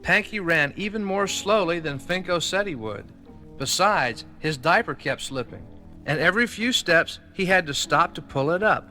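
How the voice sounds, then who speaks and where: Bright-voiced storybook narrator man. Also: sits high, expressive, normal speed. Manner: clear, storybook delivery. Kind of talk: 1980s children's read-along narration. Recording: vintage vinyl record with faint music.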